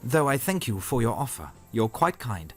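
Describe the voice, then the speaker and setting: A man's voice: medium pitch, very animated, normal speed, faint music behind. A man playing an earnest, courteous young swordsman in a fantasy audio story. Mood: polite, resolute.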